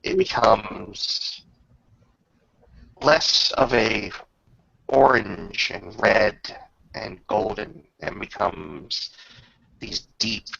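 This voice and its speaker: Man, game master, descriptive narration, lowish pitch, very creaky, frequent pauses, lo-fi sound. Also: bright, forceful tone. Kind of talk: improvised tabletop game narration.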